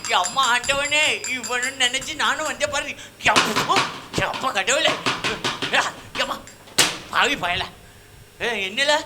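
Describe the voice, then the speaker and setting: A man's voice: very high voice, measured pace, very noisy. A man with a southern Tamil Nadu accent voicing a wailing, grumbling comic rustic clown in a folk shadow puppet show. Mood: whining, exasperated. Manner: exclamatory, repetitive lamenting.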